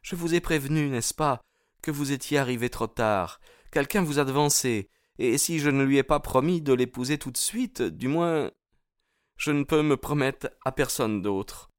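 A man's voice: mid-range voice, normal speed, clean tone, dry recording, studio quality, pitched above the performer's own voice, lightly acted. A man voicing an anxious young woman in love, speaking in an earnest, pleading way in an audiobook. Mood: distressed, refusing. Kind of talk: audiobook character dialogue.

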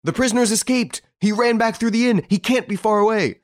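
A man voicing an excited police inspector who shouts an alarm in a storybook reading. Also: sits high, brisk, voice pushed up, close to an everyday voice.

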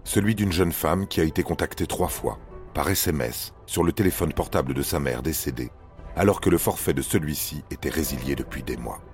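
A man's voice: very deep voice, a little fast, faint music behind. A man narrating scripted paranormal stories in a grave, suspenseful, dramatic manner. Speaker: narrator of a paranormal true-story podcast.

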